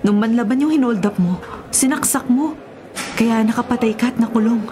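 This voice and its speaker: Filipino radio drama: female actor for loyal prisoner's girlfriend, fairly high voice, frank, noisy background. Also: moderate pace, lightly acted. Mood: concerned. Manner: frank, cautioning. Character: tough convict's loyal, cautioning live-in girlfriend. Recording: noticeable background noise.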